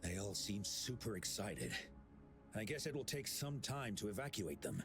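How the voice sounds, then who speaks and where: A young male official from a video game, with an animated, rising and falling delivery. He is courteous, earnest and slightly hesitant, with music clearly playing behind him. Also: on the low side, normal speed. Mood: earnest, apologetic.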